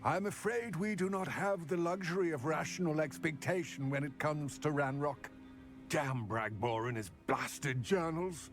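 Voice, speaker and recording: A proud goblin for a fantasy game. His voice sits slightly high for a man, and he speaks gravely in formal, measured sentences with some bitterness. Faint music plays underneath. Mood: rueful. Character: grave, proud male goblin, weary and mistrustful of wizards. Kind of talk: video game acting.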